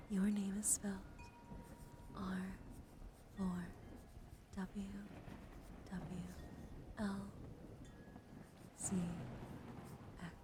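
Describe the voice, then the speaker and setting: A woman hosting an ASMR livestream, speaking softly to viewers and often slipping into whispers. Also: medium pitch. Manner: soft-spoken, often dropping into whispers. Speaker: livestream host. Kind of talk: ASMR, soft chat to livestream viewers with card reading. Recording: clearly audible ambient background noise.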